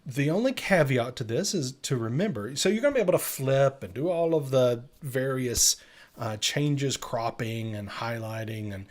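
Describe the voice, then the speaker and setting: A man with an average-pitched voice co-hosts a live tech show. He talks in a casual, conversational style, addressing his audience directly as he explains updates. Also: lively intonation, normal speed.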